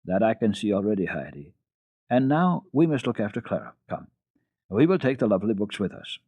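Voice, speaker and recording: A man voicing a kindly, encouraging old grandmother in a storybook reading, coaxing and deliberate but gently firm. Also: on the low side, very animated, husky, exaggerated voice. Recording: narrow-band, echoey old radio recording.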